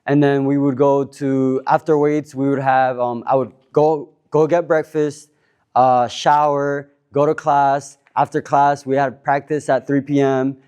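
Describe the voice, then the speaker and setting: A man in his twenties from Cuba, introducing himself on a panel in a relaxed, rambling way with many fillers and restarts. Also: medium pitch, a little slow, clear, resonant tone. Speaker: panel speaker. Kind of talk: casual self-introduction at a panel.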